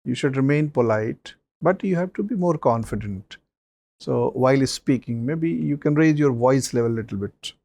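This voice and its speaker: Gravelly guy giving interview feedback, measured, lots of uh. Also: medium pitch, darkish tone, dry recording. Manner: advising, measured, many fillers. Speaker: mock interview panelist.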